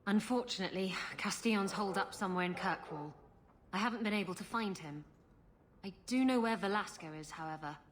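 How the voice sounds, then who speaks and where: A woman's voice: medium pitch, a little fast, noisy background, close to an everyday voice. Teasing, roguish adventurer woman played by an actress. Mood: sly, urgent. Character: roguish, scheming adventurer woman, playful and breezy. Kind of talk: video game dialogue.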